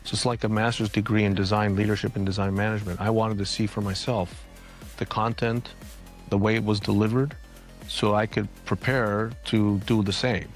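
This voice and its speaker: A man's voice: lowish voice, flat delivery, moderate pace, soft background music. A man in his fifties from Greece, a design leader answering interview questions in a reflective way with restarts, recorded in an apartment. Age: 50s.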